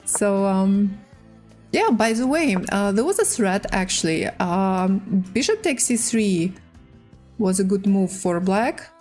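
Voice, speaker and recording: Female chess streamer, native Russian with Russian accent. Unhurried, halting English, mid pitch, music underneath. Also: animated, a little mellow. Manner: halting, hesitant English with pauses. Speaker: livestream host and chess player. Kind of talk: casual livestream chat while playing chess.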